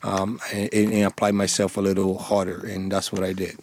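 Low flat-voiced man, thick Spanish accent. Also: a little hiss or hum. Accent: thick Dominican Spanish accent. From Dominican Republic. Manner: halting, frequent restarts and fillers, earnest. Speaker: interview guest, former police sergeant. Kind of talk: radio interview.

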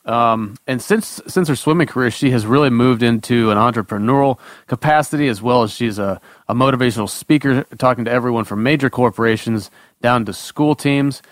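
A man reads out a guest's introduction at a steady pace, stumbling now and then and filling gaps with small fillers. He speaks at a middle pitch, with a close, dry sound. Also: no room sound. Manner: steady, slightly halting, with fillers. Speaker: podcast co-host.

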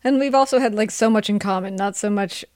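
A woman's voice: medium pitch, fast talker, darkish tone, no echo, clean studio sound. A woman hosting a casual, chatty interview. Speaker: podcast host.

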